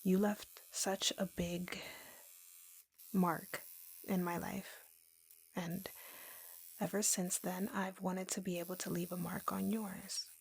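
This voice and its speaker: A woman voicing a lovesick, obsessive tattoo artist in an intimate roleplay audio, softly and breathily, in a slow, confiding way. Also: lowish voice, flat delivery, heavily acted, poor audio. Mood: lovesick, confessing. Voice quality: soft, breathy, whispery. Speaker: roleplay voice performer.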